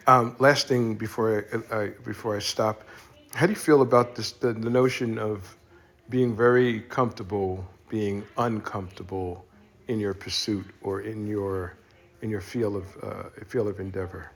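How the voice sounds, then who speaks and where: A man's voice: lowish voice, fairly even intonation, normal speed, fairly bright tone. A man in the audience of a casual audio-room conversation, politely asking a question and thanking the guest.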